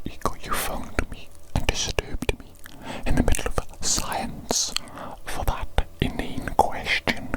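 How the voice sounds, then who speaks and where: A woman voicing a pompous, fussy evil doctor in a whispered ASMR roleplay, breathy and given to repeating phrases emphatically. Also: natural voice, poor audio. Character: pompous, exasperated evil doctor, self-proclaimed mad scientist genius.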